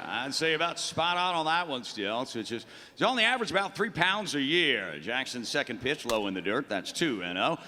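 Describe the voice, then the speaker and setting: A man's voice: mid-range voice, very animated, brisk, sharp tone. A man playing a chipper, folksy veteran baseball play-by-play announcer in a live radio play. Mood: dryly amused. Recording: echoey town hall stage reverb.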